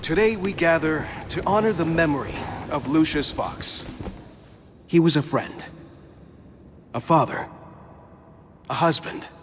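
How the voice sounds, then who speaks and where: Solemn higher-voiced male funeral officiant, acted. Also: measured pace, pauses often, slight background noise, close to an everyday voice. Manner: formal, solemn. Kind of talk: video game voice acting.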